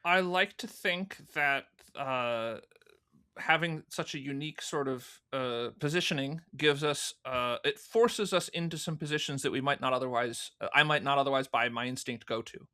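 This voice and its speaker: Guy in his 30s being interviewed, bright punchy voice, chatty, tells stories w little acted-out asides. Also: mid-range voice, normal speed, clear, resonant tone. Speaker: podcast guest, audio drama producer. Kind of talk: casual interview storytelling.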